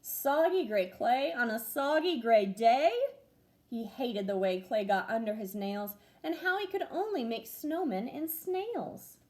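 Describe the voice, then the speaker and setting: Expressive, sing-song teacher reading aloud. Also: female voice, a little high, unhurried. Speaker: first grade teacher. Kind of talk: reading a rhyming picture book aloud.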